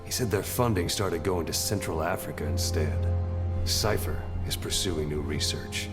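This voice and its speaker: An actor playing a coolly analytical male military interrogator, measured and matter-of-fact, in a dramatic video game. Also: sits low, lightly acted. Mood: grave, explanatory. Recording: long echoing reverb under music. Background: music.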